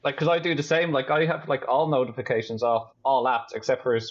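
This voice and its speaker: A man with a softened Irish accent, chatting easily as a podcast guest and often repeating words as he starts a sentence. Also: mid-range voice, brisk, dull audio. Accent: Irish, softened international English. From Ireland. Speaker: guest, optics business owner. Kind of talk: casual podcast conversation.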